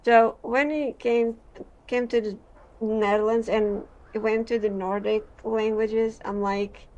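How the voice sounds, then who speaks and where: A woman from Portugal, a gaming creator reading game text aloud and chatting in a halting way, full of fillers and restarts. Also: fairly high pitch, fairly even intonation, darkish tone, dull audio.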